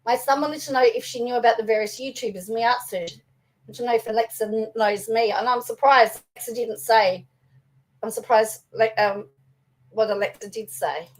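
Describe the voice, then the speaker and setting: A young woman talks through commentary for her channel, her fairly high voice slightly raspy after a day of singing. She rambles along casually, looping back with ums and restarts as she shares her opinions. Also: fairly even intonation, moderate pace, rough recording. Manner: chatty, rambling, with fillers and restarts. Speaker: vlogger and law student.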